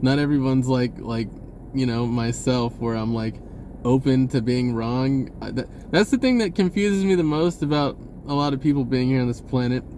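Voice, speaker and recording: A man's voice: mid-range voice, moderate pace, darkish tone, slight background noise. A man talking in a casual, rambling, informal monologue with folksy wording, often correcting himself as a spiritual vlogger.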